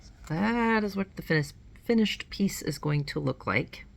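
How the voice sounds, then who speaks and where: Crafter woman, thirties; low, gravelly, cold-nasal voice; rambling home vlog with faint room hum. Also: animated, unhurried. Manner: rambling and self-correcting, with light laughs. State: nasal, getting over a cold. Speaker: cross stitch vlogger. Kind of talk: casual chat to camera. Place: small indoor room at home.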